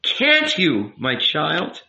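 A man's voice: a little high, wildly swooping pitch, very slow, gravelly, pushed and bright, pitched above the performer's own voice, heavily acted. A man voicing an old rabbi in an audiobook, tender and earnest, coaxing and emphatic as he counsels. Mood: tender urging. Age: older. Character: old rabbi uncle, tender, pleading, gently commanding confessor. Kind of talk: dramatic audiobook character reading. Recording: roomy, echoing, slightly dull sound.

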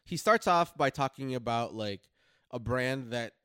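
A man co-hosting a podcast in casual, slangy talk, pausing and rephrasing as he explains his notes. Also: mid-range voice, lively intonation, fairly bright tone, smooth, no room sound, studio quality. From Philippines or Filipino family. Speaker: podcast co-host. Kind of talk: casual chat, summarizing notes.

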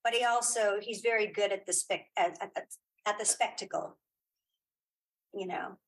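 A woman is a guest in a casual interview. Her voice sits at a middle pitch. She speaks off the cuff, doubling back on words and restarting sentences as she thinks. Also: steady tone, slight background noise, low-quality recording. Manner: halting, repeats words and restarts often. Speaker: guest, filmmaker and writer.

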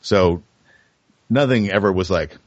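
Male podcast guest, very low voice, frank conversational delivery, dry lo-fi recording. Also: measured pace, dry recording. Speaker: interview guest. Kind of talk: casual chat.

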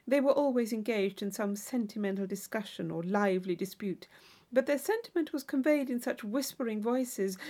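A woman's voice: on the high side, a little mellow. A woman reading scripted narration from a classic novel as an audiobook reader.

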